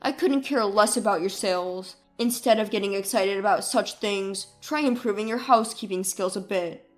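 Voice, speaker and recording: A cold, belittling husband played by a woman in a narrated story. The voice is dropped low and scornful, easily annoyed, with soft music behind it. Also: normal speed, close to an everyday voice. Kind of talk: dramatised story dialogue.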